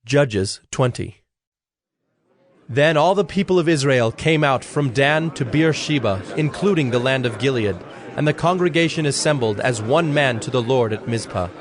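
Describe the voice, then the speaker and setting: A man narrating a dramatized scripture in a measured, solemn, scripted style. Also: medium pitch, expressive, crowd noise. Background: background music.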